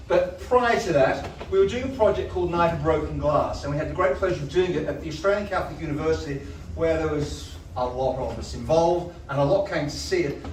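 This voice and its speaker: A man with a loud voice leading a choir, telling a story to a live audience in a chatty way. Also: a little high, a little hiss or hum. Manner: chatty, storytelling, asides to the crowd. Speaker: choir director. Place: large hall. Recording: echoey hall from a distance.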